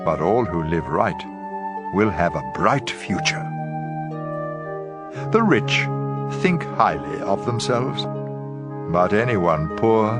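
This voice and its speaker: Deep-voiced man reading scripture, slow and expressive. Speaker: audio Bible reader. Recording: guitar music bed. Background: plucked guitar music.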